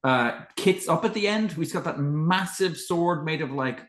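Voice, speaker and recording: A man co-hosting a casual film podcast, speaking haltingly with many restarts and "like"s. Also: fairly high pitch, fairly bright tone. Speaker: podcast co-host. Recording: roomy echo.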